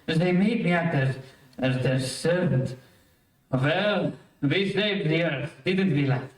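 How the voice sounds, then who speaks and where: A man's voice: a little high, slow. A man playing a kindly older scientist, a loyal companion in an old radio serial. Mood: warm, weary. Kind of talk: 1930s radio serial acting. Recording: echoey, distant microphone.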